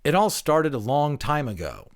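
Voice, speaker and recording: Guy playing unsure student dude in an audiobook, kinda defensive, halting, thinking out loud, voice goes up and down a lot. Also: on the high side, sing-song, pitched above the performer's own voice. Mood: uncertain, groping. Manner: halting, groping for words, thinking aloud. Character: educated male pupil, hesitant, unsure, defensive. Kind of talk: dramatic audiobook character acting.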